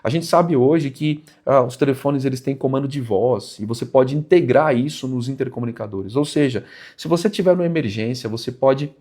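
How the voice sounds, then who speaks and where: A Brazilian man with a mid-pitched voice talks directly to his viewers. He explains and advises in a didactic vlog style, leaning on key words and restating them for emphasis. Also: a little fast, dark tone. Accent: Brazilian Portuguese. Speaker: motorcycle vlog host. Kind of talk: explanatory advice talk to viewers.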